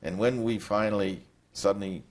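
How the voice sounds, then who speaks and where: An older man from Poland, giving a reflective, halting recorded testimony in an interview. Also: lowish voice, fairly even intonation.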